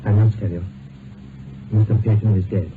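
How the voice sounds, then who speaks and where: A man acting a grave male doctor in a vintage radio play, formal and halting, with apologetic care. The sound is narrow as a phone line, with clear noise. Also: lowish voice, fairly even intonation, natural voice. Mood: grave, hesitant. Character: grave, hesitant male doctor bearing bad news. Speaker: actor. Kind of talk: old-time radio drama acting. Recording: narrow, noisy old radio recording.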